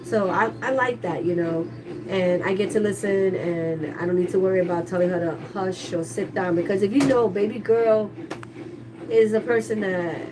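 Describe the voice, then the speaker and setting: A woman's voice: medium pitch, fairly even intonation, moderate pace, recorded from a distance, noisy background. A woman livestreaming casually from her kitchen, chatty and candid as she talks to viewers, with a stuffy, congested voice. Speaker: livestreaming YouTuber. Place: kitchen at home, household noise.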